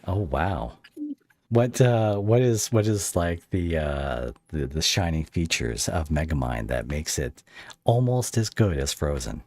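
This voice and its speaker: A man's voice: extremely low pitch, lively intonation, measured pace, darkish tone, dry recording. A man hosting a casual interview podcast, chatting and teasing his guest in a bantering way.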